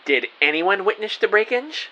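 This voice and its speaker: A man playing a self-important, excitable private investigator, narrating his case theatrically in an audio drama. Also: high voice, moderate pace, dull audio. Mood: intent, dramatic. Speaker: narrator and lead actor. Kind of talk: first-person detective audio drama narration.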